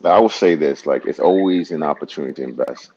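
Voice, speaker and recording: Very deep-voiced man from Honduras. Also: fast, mellow, muffled recording. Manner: upbeat, often adds "right?". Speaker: guest, military medical officer. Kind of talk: casual interview answers.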